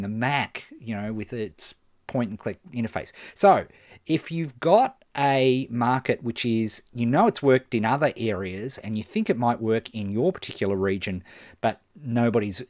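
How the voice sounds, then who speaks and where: A man answering viewer questions on a live stream as a marketing coach, animated and emphatic, often restarting his sentences. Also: mid-range voice, dry recording, studio quality. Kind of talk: live-stream Q&A, casual explaining.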